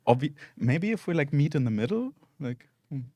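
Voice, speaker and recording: A man hosting a game stream, talking in a relaxed, hesitant way with many ums and uhs. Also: on the high side, moderate pace, no echo. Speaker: stream host. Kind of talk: casual game-stream chat.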